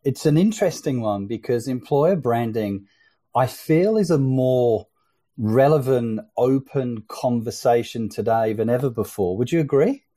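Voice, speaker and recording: Man, podcast host, mellow tone, chatty interviewer, fillers and restarts. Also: mid-range voice, animated, a little slow. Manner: conversational, frequent fillers and restarts.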